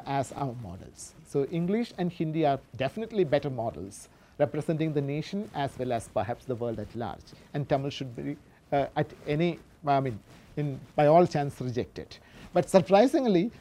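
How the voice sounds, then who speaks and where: Low-voiced woman giving an academic lecture. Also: dark tone. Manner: halting, explanatory, with frequent self-corrections. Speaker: academic presenter reading a paper. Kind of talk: scholarly lecture read from a paper with spoken asides.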